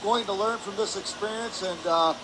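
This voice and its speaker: High-pitched male hockey color commentator. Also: normal speed. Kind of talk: live sports analysis. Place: indoor ice hockey arena. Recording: noisy arena broadcast with ambient hum. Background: clear ambient arena noise.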